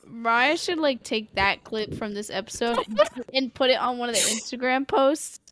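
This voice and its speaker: Higher-voiced woman, blunt and slangy. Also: moderate pace, noisy background. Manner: expressive, blunt, heavy swearing, slangy. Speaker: podcast co-host recapping an anime. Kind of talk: casual chat.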